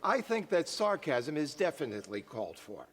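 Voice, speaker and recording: An actor playing a pompous, disgruntled New York stage actor, full of sarcasm and indignation. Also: male voice, on the high side, sing-song, moderate pace. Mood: sarcastic.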